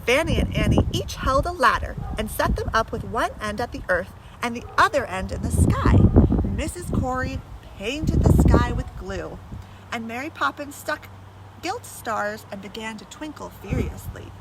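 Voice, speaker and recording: Female storyteller, high-pitched, very lively intonation, chatty with young listeners; windy outdoor recording with loud noise. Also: moderate pace. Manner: animated, addresses young listeners with questions. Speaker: storyteller reading a children's book aloud to listeners. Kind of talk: expressive children's read-aloud with chatty asides. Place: outdoors in blustery wind. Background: wind, urban ambience, vehicles.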